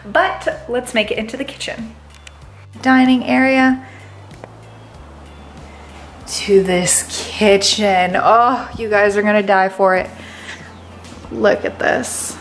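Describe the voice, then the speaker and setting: A woman's voice: mid-range voice, slow pace, long pauses, faint music behind. A young woman, a real estate agent, giving a bubbly, enthusiastic house tour from a camera held at arm's length. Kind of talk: upbeat house tour vlog. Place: inside a large empty house. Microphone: handheld camera at arm's length.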